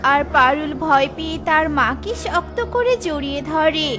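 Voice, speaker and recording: A woman's voice: high-pitched, normal speed. A woman narrating a children's cartoon story from a script. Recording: music under the voice.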